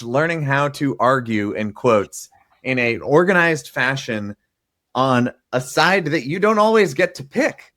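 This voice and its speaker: A man's voice: medium pitch, a bit bright, no room sound, clean studio sound. A man hosting a podcast interview, asking questions in a casual, enthusiastic, conversational way. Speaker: podcast host.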